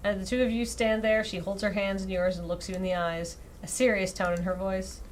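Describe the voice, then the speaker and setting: A woman reads a visual novel aloud on a live game stream. Her delivery is expressive and casual, with wry little asides at her own expense. Her voice sits at an average female pitch, over some background noise. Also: a little fast. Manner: expressive reading broken by wry, self-deprecating asides. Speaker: streamer reading out a dating sim visual novel. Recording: clearly audible background noise.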